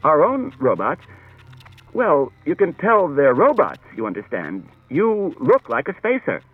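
A man voicing a tense, flustered detective who speaks in a nervous, halting way. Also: fairly high pitch, wildly swooping pitch, breathy edge, no echo, pitched above the performer's own voice, full character voice. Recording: dull lo-fi with music behind.

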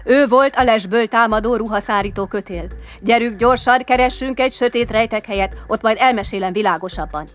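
A woman voicing an excitable, chattering little furball creature in a children's story, gabbling nervously and emphatically. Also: a little high, soft background music, lightly acted. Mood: urgent.

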